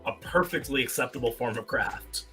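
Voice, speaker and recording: Very bright, forceful, opinionated man. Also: medium pitch, faint music behind. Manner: emphatic, deliberate phrasing. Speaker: panelist. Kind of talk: casual opinionated film commentary.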